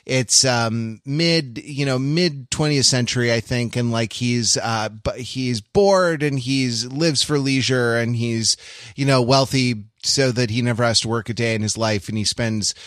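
A man hosting a casual podcast discussion, talking in a rambling, halting way and often repeating words mid-sentence. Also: medium pitch, a bit bright, no echo, clean studio sound. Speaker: podcast host.

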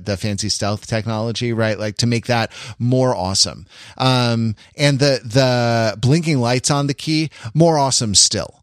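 A man hosting a casual discussion podcast, explaining things at length in a halting, backtracking, wry way. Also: mid-range voice, fairly bright tone, no room sound, clean studio sound. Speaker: podcast host.

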